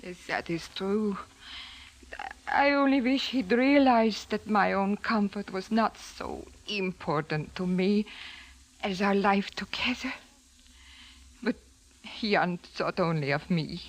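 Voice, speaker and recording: Grieving radio widow, very slow, medium pitch, halting, tender. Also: female voice, rough recording. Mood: tender sorrow. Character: sorrowful, devoted widow. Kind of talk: 1940s radio drama acting.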